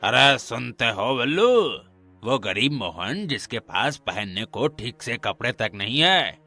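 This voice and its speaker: A man's voice: mid-range voice, very animated, slow pace, bright, forceful tone, soft background music, heavily acted. A man playing a greedy, condescending village priest and moneylender in a cartoon, with a pompous manner. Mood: scornful, indignant. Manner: pompous, condescending, tags questions onto sentences. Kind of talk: acted Hindi cartoon dialogue.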